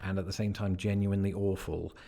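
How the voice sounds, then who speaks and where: A man's voice: low-pitched, fairly even intonation, a little fast, creaky, dark tone. A man from Britain living in the American Midwest, narrating his vlog with dry, sardonic humor. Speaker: vlogger in the original video.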